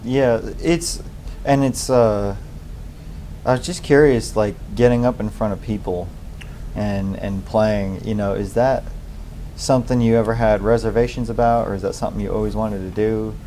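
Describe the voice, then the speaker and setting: A man co-hosts an interview with a low, mellow voice. He asks questions haltingly, restarting as he searches for words. A faint hiss sits underneath. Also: steady tone. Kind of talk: casual interview chat.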